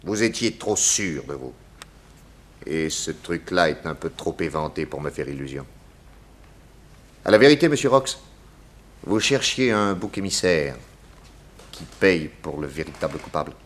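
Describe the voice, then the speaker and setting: An actor playing a shrewd, authoritative detective in a 1960s French radio drama, theatrical, deliberate and accusatory. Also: male voice, extremely low pitch, animated, fairly bright tone, heavily acted. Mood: stern, explaining.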